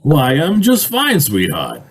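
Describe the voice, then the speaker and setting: A man acts out an excitable radio-drama boss with a high, husky voice. He is bossy and blustering, sputtering and emphatic, and his pitch swings wildly. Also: takes it slowly, full character voice. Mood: sheepish. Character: blustering, excitable male company boss, in denial then panicking.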